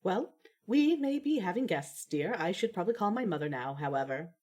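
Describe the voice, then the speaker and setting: A woman's voice: fairly low pitch, animated, fairly quick, mellow, breathy edge. A woman playing a composed, dry-witted young daughter with a formal, slightly wry manner in amateur audio fiction.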